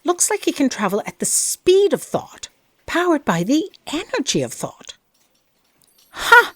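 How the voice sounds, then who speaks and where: A woman playing an enthusiastic colleague reading out a report in a comic audio drama, animated and marvelling. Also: sits high, measured pace, no room sound, full character voice. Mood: amazed.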